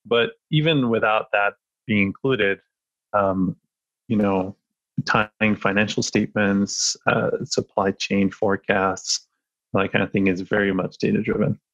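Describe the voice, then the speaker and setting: A low-voiced man talks through his answers as a podcast guest, pausing often with fillers and restarts. He sounds thoughtful and unhurried. The recording is dry and a little dull. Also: fairly bright tone, no room sound. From born in France, raised in Spain. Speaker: podcast guest, data analyst. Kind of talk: casual interview talk.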